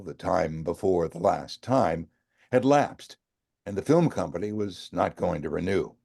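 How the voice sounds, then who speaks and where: An older American man reading literary fiction aloud as a professional storyteller, with measured, emphatic, dramatic phrasing. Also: deep voice, vocal fry, dark tone. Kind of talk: expressive audiobook-style literary narration.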